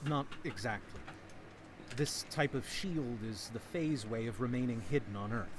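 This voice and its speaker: A man playing a steady fairy team leader in an audio drama, serious and instructive in manner. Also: mid-range voice, normal speed, over a noisy crowd, lightly acted. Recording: loud music over the voice. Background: loud music.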